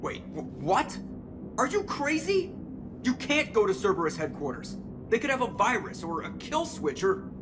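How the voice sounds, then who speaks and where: Game starship pilot: wry, casual, protective, prominent score underneath. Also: male voice, sits high, sing-song, moderate pace, music in the background. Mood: alarmed. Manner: sardonic, informal. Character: wisecracking male spaceship pilot, anxious, protective. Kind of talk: video game voice acting.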